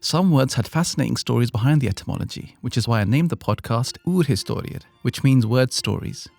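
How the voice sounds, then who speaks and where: A man's voice: medium pitch, animated, brisk, gravelly, darkish tone, soft background music. A British man hosting an educational podcast, reading a script with playful, self-deprecating asides. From Britain. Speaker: podcast host.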